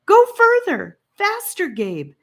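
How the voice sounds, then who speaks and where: A woman's voice: very high voice, wildly swooping pitch, slow pace, gravelly, a bit bright, voice pushed up, exaggerated voice. A woman voicing a terrified young girl in a storybook, crying out urgently for help.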